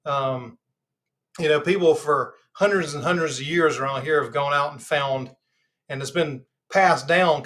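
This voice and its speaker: A Southern man from Georgia, stuffed up in the nose, hosting a live health chat in a relaxed, rambling way with lots of fillers. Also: a little high. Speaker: host of a live health channel. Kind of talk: casual livestream chat.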